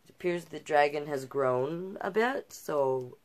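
A middle-aged woman reading a children's picture book aloud with expressive delivery and casual asides. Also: low-pitched. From Canada. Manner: unhurried, expressive storybook delivery. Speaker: storytime host and drag king. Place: small cluttered room indoors.

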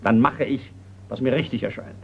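Man playing a gruff, creaky, blustering old colonel. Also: medium pitch, moderate pace, slight background noise, lightly acted. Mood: indignant, impatient. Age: older. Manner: blustering, indignant. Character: gruff, impatient retired colonel and art collector. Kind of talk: radio crime drama acting. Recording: slightly muffled radio play recording.

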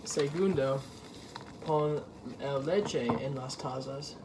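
Halting young man with American accent. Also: mid-range voice. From United States. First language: English. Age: young adult. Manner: slow, halting learner's Spanish. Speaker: cooking vlog host. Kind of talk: rehearsed recipe narration. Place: indoors, home dining room and kitchen. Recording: echoey home room, clear background noise.

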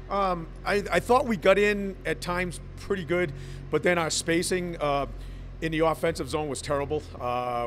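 Coach guy in his 40s talking after the game, lots of uhs, bit of hum in the background. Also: fairly high pitch. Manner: measured and frank, with many uhs. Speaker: head coach. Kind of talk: post-game interview. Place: indoor arena corridor. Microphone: handheld mic held close.

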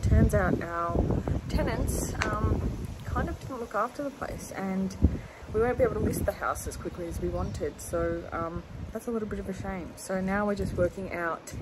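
A woman's voice: medium pitch, heavy background noise. An Australian woman in her thirties chatting casually to her phone camera for a farm vlog, rambling with plenty of fillers. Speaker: farm vlogger. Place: outdoors in a backyard by a house. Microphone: phone held at arm's length.

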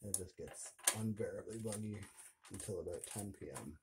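A man's voice: low-pitched, normal speed. A middle-aged man with a Canadian accent chatting casually and thinking aloud in a laid-back, colloquial way while he works in a garage. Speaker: DIY mechanic video host. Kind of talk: casual chat while working on a mini bike. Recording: clearly noisy garage recording with clicks.

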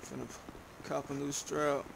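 A man's voice: a little high, completely flat delivery, unhurried. A young man chatting casually in heavy slang and swearing while hosting a gaming session. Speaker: gaming video host. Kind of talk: casual gameplay chat with slang. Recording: clear background noise, game car sounds.

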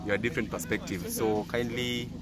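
Young man from western Kenya, low-pitched, halting, crowd noise outdoors. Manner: hesitant, many fillers and restarts, earnest. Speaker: guest YouTuber. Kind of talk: casual chat. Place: outdoors on a grassy compound. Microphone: phone at arm's length.

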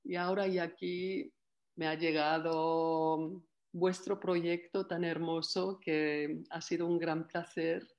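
An older woman, French by first language, speaks as a literary translator over a laptop webcam mic from a room at home. Her delivery is calm and reflective, laying out her process one step at a time. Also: lowish voice, slow, highs cut off. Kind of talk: video call interview. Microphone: laptop webcam mic on video call.

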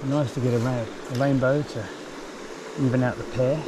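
A man in his forties with a New Zealand accent, talking excitedly to an action camera while fly fishing outdoors by a forest river. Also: mid-range voice, moderate pace. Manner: enthusiastic, exclaims often. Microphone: action camera, chest or handheld. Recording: outdoor river recording with clear background noise. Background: flowing river.

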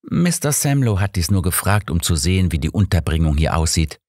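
Guy doing an old detective in an audiobook, gentle and polite questions, calm, quietly persistent. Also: deep voice, fairly quick, no echo, clean studio sound. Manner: soft, probing, polite.

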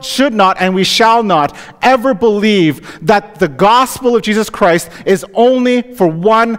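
A man's voice: high-pitched, long reverb tail. A Canadian man, a pastor, delivering a conversational sermon to his congregation, often asking "right?". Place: church sanctuary.

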